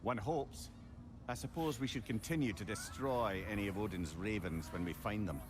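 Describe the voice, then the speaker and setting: An actor playing a wise, wry old storyteller with a Scottish accent in a video game. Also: male voice, on the low side, background noise, natural voice. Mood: thoughtful, wry. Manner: erudite, wry, measured explaining. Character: wise, chatty old male sage and storyteller.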